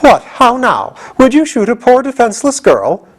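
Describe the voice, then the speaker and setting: Man putting on a high, fierce girl general. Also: huge pitch swings, moderate pace, exaggerated voice. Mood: indignant, bold. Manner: declamatory, commanding. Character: fierce young girl general leading a revolt, bold and impatient. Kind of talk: audiobook character voice.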